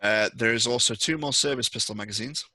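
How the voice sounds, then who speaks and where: A man's voice: medium pitch, fast talker, bright, forceful tone, no echo. A man narrating a tabletop roleplaying game as game master, improvising in a conversational way.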